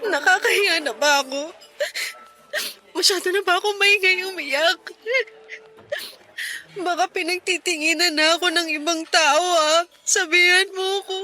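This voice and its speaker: A woman's voice: very high-pitched, steady tone, unhurried, pauses often, bright, forceful tone, exaggerated voice. An actress playing a grieving, self-conscious woman who talks plaintively through tears in a Filipino radio drama. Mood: tearful, embarrassed. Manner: tearful, plaintive, talking through sobs. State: crying. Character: grieving, self-conscious woman crying over her dead fiancé.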